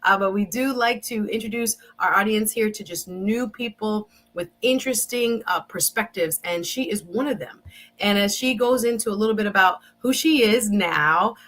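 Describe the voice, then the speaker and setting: A woman's voice: medium pitch, pushed and bright. A woman hosting an interview show, chatty and enthusiastic, talking straight to her viewers. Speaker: show host.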